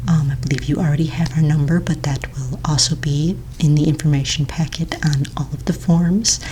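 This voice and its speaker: A woman speaking in a soft, breathy near-whisper, playing a courteous, patient office manager in a gentle ASMR roleplay. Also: low-pitched, slight background noise, low-quality recording. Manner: gentle, polite, reassuring clerical patter.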